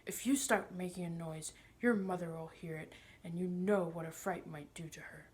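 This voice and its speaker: A woman's voice: lowish voice, fairly even intonation, moderate pace, a little mellow, lightly acted. A woman voicing a pompous, sly older male magician in a storybook, smug and condescending, with a wheedling manner. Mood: sly, menacing.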